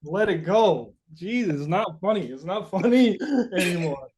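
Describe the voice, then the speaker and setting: Halting man with a slightly high voice. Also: animated, darkish tone. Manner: halting, searching, informal with swearing. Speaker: interviewed musician. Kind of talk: casual reflective interview chat.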